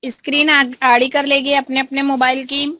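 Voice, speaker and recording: Teacher coaxing pupils on a video call. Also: female voice, sits high, little pitch movement, normal speed, bright, forceful tone, no room sound, studio quality. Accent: Indian. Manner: coaxing, repeats prompts to pupils. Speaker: school teacher. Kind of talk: online class teaching.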